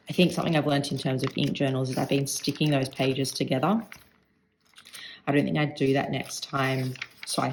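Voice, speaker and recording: A woman with a croaky, gravelly voice and plenty of vocal fry talks her way through an ink review. She rambles in a chatty way, thinking aloud while she swatches at her desk. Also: extremely low pitch, slight background noise, low-quality recording. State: croaky voice today. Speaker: stationery vlogger.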